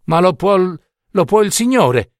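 A man's voice: high voice, big pitch swings, measured pace, dry recording, studio quality, pitched above the performer's own voice. Man acting a grieving mother, raised voice, desperate. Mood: pleading.

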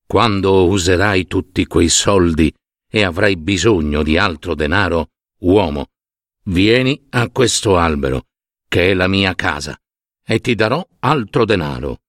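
Man playing a deep, solemn old-tale python. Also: lively intonation, no echo, clean studio sound. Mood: grave, kindly. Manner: solemn, measured, admonishing. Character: grave, wise, generous male python of folk tale.

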